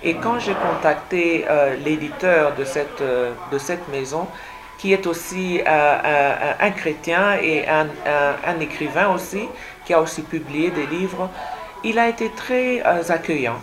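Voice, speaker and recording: A woman's voice: sits low, measured pace. A woman born in Congo whose first language is French, answering radio interview questions as an author, hesitant with many fillers and repeated words. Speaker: guest author.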